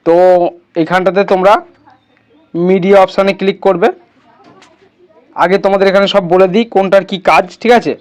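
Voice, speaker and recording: A man's voice: sits high, fairly even intonation, a little fast, long pauses. A man hosting a casual step-by-step tutorial, instructing his viewers like friends. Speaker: tutorial host.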